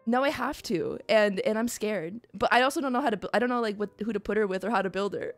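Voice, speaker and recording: A woman's voice: mid-range voice, quick pace, dry recording, faint music behind. A woman streamer chatting casually about her play in a rambling, self-deprecating way. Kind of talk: casual chat on a game livestream.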